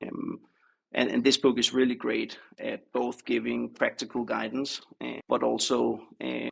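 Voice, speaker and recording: A Danish man talks casually as a podcast guest, speaking English with a Danish accent. His voice is heavily creaky and gravelly, sitting at an ordinary male pitch. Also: fairly bright tone. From Denmark. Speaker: podcast guest, startup co-founder. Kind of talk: casual interview chat.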